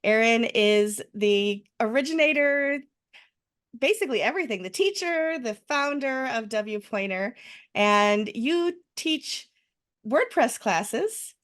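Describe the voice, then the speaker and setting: A woman's voice: a little high, lively intonation, takes it slowly, fairly bright tone. A woman hosting a podcast, introducing a guest in a bubbly, effusive way. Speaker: podcast host. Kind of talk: podcast interview.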